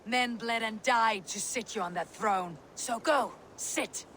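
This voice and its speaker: A woman's voice: on the high side, slow, pushed and bright, slight background noise. A woman playing a dutiful Viking warrior who speaks firmly and plainly, commanding a sulking king to come home. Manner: firm, plain-spoken, commanding. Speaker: game protagonist. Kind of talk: video game cutscene acting.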